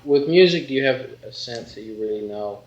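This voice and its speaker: A man's voice: mid-range voice, expressive, fairly quick, a little mellow. A man asking conversational questions as an interviewer in a casual style. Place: room in a house, away from mic. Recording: distant, echoey, dull, faintly noisy tape.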